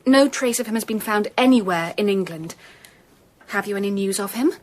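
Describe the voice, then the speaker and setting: An actress playing an earnest young gentlewoman, polite, controlled and gently firm, in a radio drama. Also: mid-range voice, brisk, natural voice. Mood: firm, composed.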